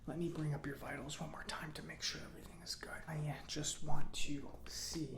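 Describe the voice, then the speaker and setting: A man playing an eccentric, kindly mechanic in a soft-spoken ASMR roleplay, with halting, reassuring murmurs and a breathy voice. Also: a little high, little pitch movement, roomy sound, a crowd in the background, lightly acted. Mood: attentive, satisfied. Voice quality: breathy whispering, creaky. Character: eccentric, giddy, kindly male government robot mechanic.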